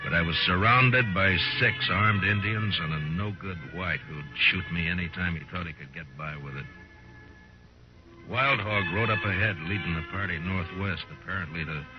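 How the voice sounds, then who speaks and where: Low-voiced, stern frontier marshal played by a man. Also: normal speed, close to an everyday voice. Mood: grim narration. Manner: terse, dry, hard-boiled. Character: stern, fearless frontier marshal, grimly unflappable. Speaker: actor in a radio western. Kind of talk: 1950s radio drama acting and first-person narration. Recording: muffled old radio recording with music.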